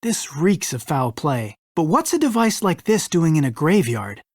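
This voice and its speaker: Skeptical practical lady investigator, lowish voice, wry and animated, game dialogue. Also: moderate pace, no room sound, studio quality. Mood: suspicious, businesslike. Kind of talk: video game voice acting.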